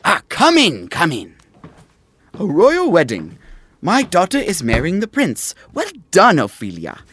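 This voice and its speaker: Effusive, ambitious middle-aged father, swooping pitch. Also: wildly swooping pitch, measured pace, fairly bright tone, a little hiss or hum, heavily acted. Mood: proud. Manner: effusive, theatrical. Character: ambitious, effusive royal advisor father, status-obsessed and fussing. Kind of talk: modern audio drama acting.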